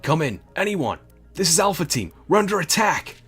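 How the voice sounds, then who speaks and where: Frantic shouting soldier on the radio, voiced by a British man, high and strained, faint music behind. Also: very animated, normal speed, slightly rough, exaggerated voice. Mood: panicked. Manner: shouting in panic. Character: panicked male soldier radioing for help.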